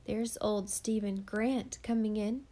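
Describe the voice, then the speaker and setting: Brief: a woman doing a spiteful eccentric gossip for a storybook, loud, scornful, voice a touch higher than her own. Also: steady tone, takes it slowly. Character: sharp-tongued gossiping eccentric woman in church.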